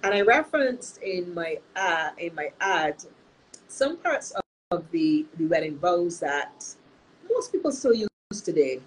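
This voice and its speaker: A woman hosting a live-streamed talk as a counselor, sounding nasal from illness and speaking in an earnest, measured way with frequent restarts. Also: on the low side, sing-song, a little hiss or hum, poor audio. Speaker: host and counselor.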